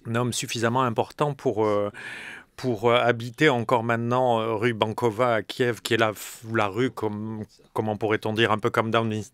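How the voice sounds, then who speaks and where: A man's voice: mid-range voice, a bit bright. A man taking part as a guest author in a radio discussion. He talks in long, winding sentences with asides and frequent self-corrections. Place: radio studio. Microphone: studio microphone.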